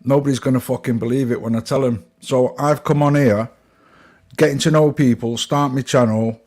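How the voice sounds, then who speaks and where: A man's voice: mid-range voice, fairly even intonation, moderate pace, darkish tone. A man with a Manchester accent, chatting casually as an online show host, sweary and rambling. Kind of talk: casual chat.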